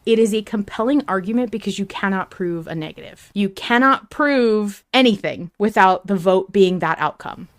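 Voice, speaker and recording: A woman's voice: mid-range voice, expressive, normal speed, hardly any pauses. A woman hosting a podcast, talking casually and emphatically with opinions and casual swearing. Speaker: podcast host. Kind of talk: casual discussion chat.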